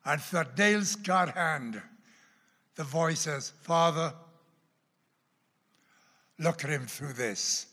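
A man with a Welsh accent tinged with Texan and Oklahoma, teaching a church class in a dramatic sermon style, booming on key words and dropping to hushed asides. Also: slow, pauses often. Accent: Welsh with Texan and Oklahoma traces. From Wales. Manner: dramatic, wide swings from loud emphasis to hushed asides. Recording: long hall reverb.

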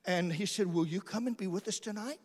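High-voiced male preacher in a reverberant hall. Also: a little fast. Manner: emphatic, dramatic, repeats phrases for effect. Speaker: missionary preacher. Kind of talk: storytelling sermon. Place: large church or hall. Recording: hall reverb from a large room.

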